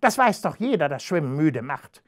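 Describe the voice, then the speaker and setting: A storyteller voicing a spiteful, scheming female cat in a children's storybook, with a sneering, drawn-out delivery. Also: medium pitch, sing-song, natural voice. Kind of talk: storybook character voice.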